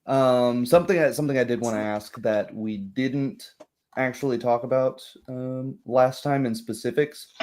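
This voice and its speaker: A man speaking as game master, casually setting up a tabletop roleplay session in a rambling, halting way that often corrects itself. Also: medium pitch, fairly even intonation, darkish tone, a little hiss or hum.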